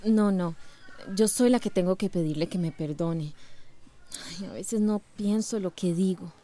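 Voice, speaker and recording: An actress playing a young, impulsive schoolteacher in a radio drama. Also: female voice, fairly low pitch, a little slow, mellow, close to an everyday voice. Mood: hesitant, apologetic. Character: impulsive, proud young female schoolteacher, smitten.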